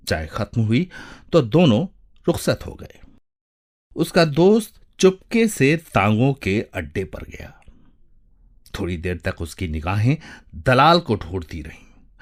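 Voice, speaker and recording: A man giving a dramatic, measured narration of a short story, with deliberate pauses. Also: mid-range voice. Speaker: voice-over narrator.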